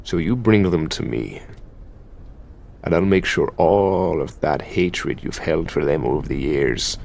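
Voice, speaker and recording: Man acting a deep, gravelly Irish demon. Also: a little mellow, a little hiss or hum, full character voice. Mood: possessive, menacing. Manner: commanding, foul-mouthed, taunting, drawn-out delivery. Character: possessive, menacing, teasing male high demon of lust. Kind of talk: ASMR roleplay, intimate scripted monologue.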